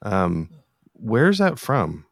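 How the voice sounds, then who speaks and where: A man with a low-set voice, recorded very dry. He interviews in a casual, conversational way, often hesitating and regrouping mid-phrase. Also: wildly swooping pitch, drawn-out words, creaky, dry recording. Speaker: interviewer, podcast host.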